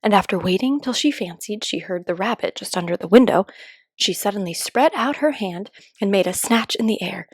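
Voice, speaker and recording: A woman storyteller giving a calm read-aloud narration for children. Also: medium pitch, brisk, slightly rough, no room sound.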